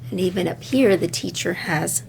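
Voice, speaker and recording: A woman's voice: lowish voice, moderate pace, gravelly, darkish tone, slight background noise, low-quality recording. A woman reading a picture book aloud to children like a teacher, speaking to them directly with dramatic emphasis. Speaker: read-aloud storyteller, teacher-like.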